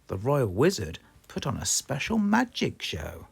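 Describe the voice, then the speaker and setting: A man with a British accent reading a children's storybook aloud in a slow, expressive, sing-song way. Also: mid-range voice, gravelly, soft background music. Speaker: storybook reader.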